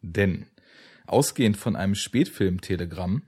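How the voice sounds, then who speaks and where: Husky, low-voiced male podcast host. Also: lively intonation, measured pace, a little mellow. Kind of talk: casual film podcast talk.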